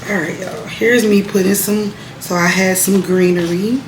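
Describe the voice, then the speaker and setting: A young woman talks through her vlog in a relaxed, chatty way. Her voice bounces around an echoey room. Background noise comes through clearly. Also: medium pitch, moderate pace. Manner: chatty, laid-back, laughs easily. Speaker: vlogger. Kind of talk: casual vlog chat.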